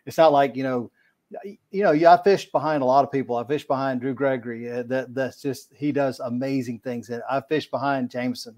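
A man's voice: medium pitch, a little mellow. Guy on a webcam call, rambly folksy talk, stumbles over words a lot. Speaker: podcast guest, kayak angler. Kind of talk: casual video-call interview. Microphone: video call webcam mic.

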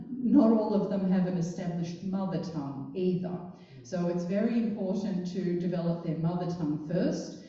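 A woman who is a school language coordinator explaining her work on a panel in a clear, explanatory way. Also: fairly low pitch, mellow. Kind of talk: panel discussion answers. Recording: long hall reverb, distant mic, dull sound.

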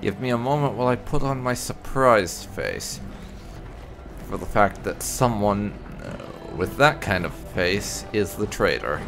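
A man with a lowish voice hosts a game playthrough, swinging widely in pitch as he comments. He is casual, dry and sardonic, taking his time with his words. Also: lively intonation, unhurried, music in the background. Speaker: gaming video host.

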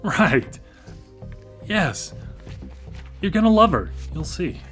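High, swooping voice of a hesitant brother. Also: male voice, sing-song, slow pace, fairly bright tone, soft background music, full character voice. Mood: uneasy, hopeful. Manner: hesitant, stammering starts. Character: dependent adult brother, hesitant, defensive, eager for independence. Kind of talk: naturalistic live radio drama acting.

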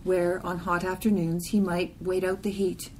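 A woman's voice: fairly low pitch, flat delivery, moderate pace, a little mellow, a little hiss or hum, low-quality recording. A woman reading a literary poem aloud as part of a group reading. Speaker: poetry reader.

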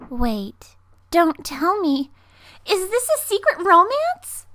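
A woman playing a perky, chatty teenage schoolgirl in an amateur anime dub audition, expressive and girlish. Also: high voice, no room sound, exaggerated voice. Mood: curious, teasing.

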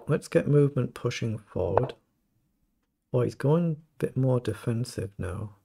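Middle-aged guy, croaky gravelly voice, muttering his chess moves out loud, noisy room with mouse clicks. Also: medium pitch, animated, unhurried. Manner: thinking aloud, muttering over moves. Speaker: chess streamer. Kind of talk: live game commentary.

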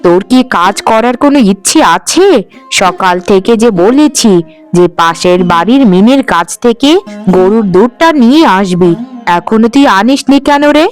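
A woman's voice: on the high side, lively intonation, natural voice. A woman playing a bossy but fair household mistress in a cartoon, speaking in a commanding manner. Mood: stern, demanding. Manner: brisk, commanding. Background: faint music.

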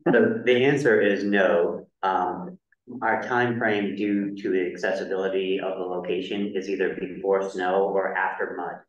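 Man answering the planning board, deep slow voice, plain explaining, far off mic in an echoey dull room. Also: level tone. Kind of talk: answering questions at a public meeting. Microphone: shared room mic, far from the speaker.

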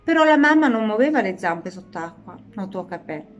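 A woman reading a children's story aloud in an animated, expressive way. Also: medium pitch, normal speed, muffled recording, a little hiss or hum. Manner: animated, dramatizing the characters' lines.

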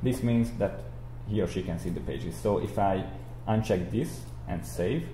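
Young male software instructor, low and slightly mellow, halting explanatory delivery, roomy sound with light noise. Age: young adult. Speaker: tutorial instructor. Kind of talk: explanatory software screencast lecture. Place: indoor room, speaking beside a screen recording. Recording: roomy echo, audible background noise.

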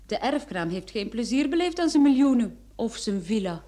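A curious lady in an old radio play, soft dark tone, really lively pitch, questioning. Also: a little fast. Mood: pensive, questioning. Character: inquisitive woman pondering a murder case.

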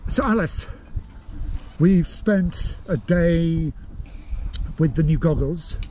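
A man's voice: fairly high pitch, takes it slowly, warm tone, slight background noise. A man chatting casually about gear he reviews, filling his talk with ums and uhs. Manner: rambling, many ums and uhs. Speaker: gear reviewer and channel host. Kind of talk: casual product review chat.

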